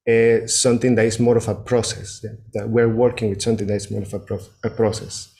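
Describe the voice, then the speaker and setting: Man, Venezuelan Spanish accent, native Spanish speaker, medium pitch, reflective and hesitant, Buddhist talk. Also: a little mellow. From Venezuela, Andes. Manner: hesitant, reflective, often checking in with "yeah". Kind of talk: Buddhist dharma talk to a group.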